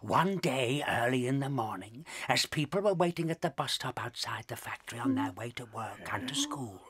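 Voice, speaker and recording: An actor playing an old grandfather who tells a story in a hushed, theatrical way, sometimes whispering. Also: medium pitch, expressive, moderate pace, husky. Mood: storytelling. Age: older. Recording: audio drama with group chatter behind.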